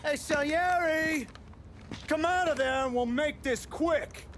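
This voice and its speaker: An actor playing a menacing, taunting gangster thug calling out threats in a video game drama. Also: male voice, extremely high pitch, slow, fairly bright tone, slight background noise, full character voice.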